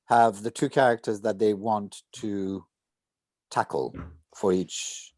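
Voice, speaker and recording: A man's voice: on the low side, steady tone, takes it slowly, darkish tone, no room sound. A man in a casual planning discussion among actors, thinking aloud with repeated, hesitant phrases. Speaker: voice actor at a table read.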